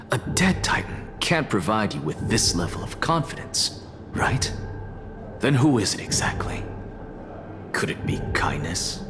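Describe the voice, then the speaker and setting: An actor voicing a composed, sarcastic male scholar who speaks with dry wit, in a video game with music behind. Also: lowish voice. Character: sardonic male scholar, calm and cutting.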